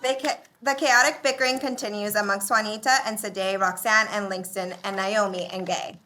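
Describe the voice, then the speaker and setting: A woman narrates script directions. Her voice is bright and mid-pitched, in plain scripted delivery. The live recording carries faint noise. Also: fairly even intonation, moderate pace.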